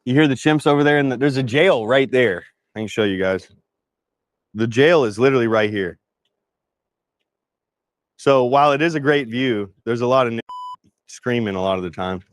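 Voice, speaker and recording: A man's voice: medium pitch, normal speed, long pauses, dry recording. A man talking casually and crudely to camera as he shows what is around him. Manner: crude, profane. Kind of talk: casual talk to camera, showing surroundings.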